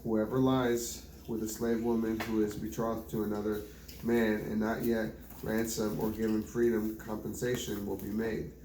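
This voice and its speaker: Mellow man reading scripture in a roomy space. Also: fairly low pitch, level tone, moderate pace. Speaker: Bible reader. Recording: roomy home recording with faint background noise.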